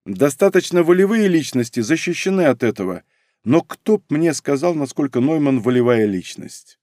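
A man's voice: on the high side, expressive, normal speed, a little mellow, no echo, clean studio sound. Man reading a wry audiobook. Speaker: narrator. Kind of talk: audiobook narration.

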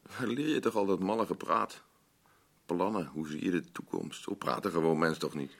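An actor playing a gruff, evasive working man in a radio drama, with a gravelly, husky voice and a halting, terse way of talking. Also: low voice. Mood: irritated, defensive. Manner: halting, plain-spoken, terse. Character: gruff, evasive working-class man caring for fragile woman. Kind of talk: naturalistic Dutch radio drama acting.

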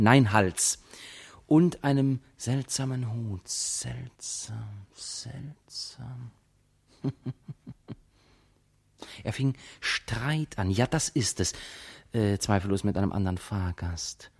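A low, husky male voice for a forgetful radio play character. He speaks slowly and haltingly, muddled and unsure, pondering aloud to himself. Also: darkish tone, heavily acted. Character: muddled, forgetful man groping uncertainly for memories. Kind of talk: radio drama monologue.

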